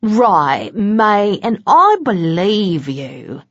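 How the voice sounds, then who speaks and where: A woman's voice: medium pitch, sing-song, extremely slow, fairly bright tone, dry recording, dull audio, exaggerated voice. A woman voicing a cool, menacing male vampire with a pleasant, mocking manner. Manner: pleasant but threatening, mocking.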